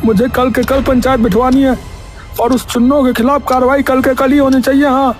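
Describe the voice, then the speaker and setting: A man's voice: extremely high pitch, little pitch movement, fairly quick. A man playing an aggrieved village father in a Hindi cartoon story, complaining and pleading insistently. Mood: indignant. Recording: music under the voice.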